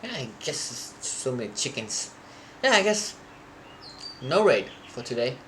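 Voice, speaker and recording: A man's voice: medium pitch, sing-song, noisy background. Rambling young man streaming code. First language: Thai. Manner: rambling, halting, restarts and searches for words. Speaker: programming live streamer. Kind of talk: casual stream chat to viewers.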